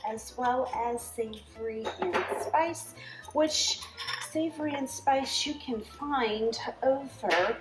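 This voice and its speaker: Woman, cooking show host, relaxed slow pace, chatty with fillers, echoey kitchen, faint music. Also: high voice. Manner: chatty, with filler words and asides. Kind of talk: casual home cooking demonstration.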